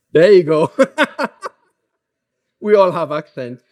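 A man's voice: a little high, extremely slow. Guy with a ghanaian english accent giving a laid-back sermon, jokes around, lots of ums. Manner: relaxed, joking, with frequent fillers. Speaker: preacher. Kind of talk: conversational sermon.

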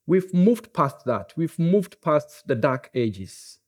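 A man's voice: on the high side, takes it slowly. Ghanaian-accented man preaching casually. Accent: Ghanaian English. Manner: relaxed, joking, with frequent fillers. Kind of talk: conversational sermon.

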